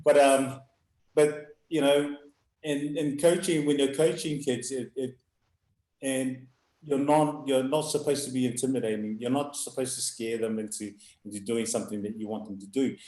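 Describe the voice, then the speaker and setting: A middle-aged man with a loud, booming voice, a youth rugby coach talking in halting bursts with frequent laughs. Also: steady tone. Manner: halting, restarts, laughs often. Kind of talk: live video call chat. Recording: echoey room.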